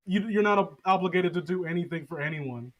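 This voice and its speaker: A man's voice: sits high, fairly quick, highs cut off. A man chatting casually with friends as a podcast co-host, rambling, sarcastic and swearing freely.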